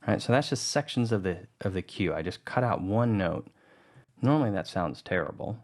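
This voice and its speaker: A man with a fairly low voice talks through a music-editing tutorial. He keeps it relaxed and conversational, thinking aloud as he explains each trick. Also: brisk, noisy background. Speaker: tutorial narrator.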